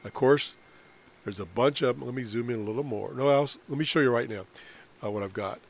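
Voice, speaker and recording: An American man giving an unscripted software walkthrough as a radio hobbyist, explaining in a halting way with trailing phrases. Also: medium pitch. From United States. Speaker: amateur radio hobbyist presenting a tutorial. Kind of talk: unscripted software screen demonstration. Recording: clear background noise on voice-over.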